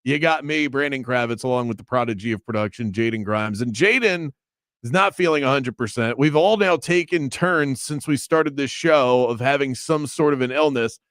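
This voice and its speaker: A chatty man hosting sports talk radio, with a voice of middling pitch. He teases and thinks out loud in a casual, easygoing way. His studio mic sounds dry and close. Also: moderate pace, no echo. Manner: chatty, teasing, thinks aloud.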